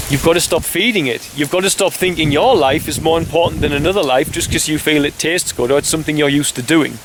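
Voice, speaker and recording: A man's voice: fairly high pitch, slight background noise. Earnest man lecturing straight to camera. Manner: earnest, urgent, addresses listener directly as "you"; rambling, restarts mid-thought. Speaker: video blogger. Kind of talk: impassioned direct-to-camera monologue.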